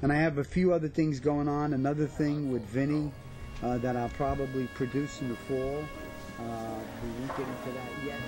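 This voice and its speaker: A man's voice: mid-range voice, little pitch movement, a little fast, loud music behind the voice. A man, an actor chatting casually as an interview guest. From New York/New Jersey area.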